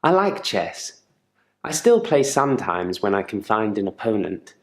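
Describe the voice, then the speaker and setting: An actor playing a controlled, quietly menacing therapy patient in a game drama, speaking in a measured, deliberate and politely probing way. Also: male voice, fairly low pitch, sing-song, reverberant. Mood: calm, reflective. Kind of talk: live-action game drama monologue.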